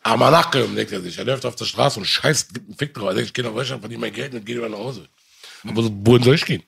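A man's voice: medium pitch, rapid-fire, creaky, a bit bright, breathy edge. A man in casual conversation, speaking passionately and often calling the listener brother. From Germany. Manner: impassioned, addresses listener as brother. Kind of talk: casual chat.

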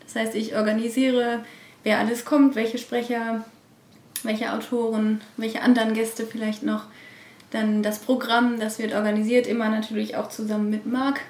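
A woman's voice: a little high, little pitch movement, normal speed, a little mellow. A woman, an event organiser, answering casually in an office interview. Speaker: convention organiser being interviewed. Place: indoors in an office. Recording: echoey room, distant from microphone.

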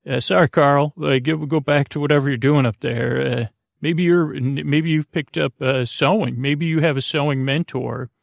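A male podcast host with a gravelly, creaking voice talks in a very dry, close-sounding space. He improvises a bedtime monologue that wanders from one aside to the next. His delivery is halting, with stammers and words said twice. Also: fairly high pitch, fairly even intonation, dry recording. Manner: meandering and digressive, halting with stammers and repeated words.

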